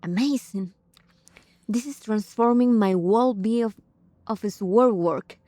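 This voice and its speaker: A woman gives casual gameplay commentary at a slow, easy pace. Her voice is mellow and sits at a middle pitch. The recording is very dry, with hardly any room on it. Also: dry recording. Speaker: game streamer. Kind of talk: casual gameplay reactions and reading aloud.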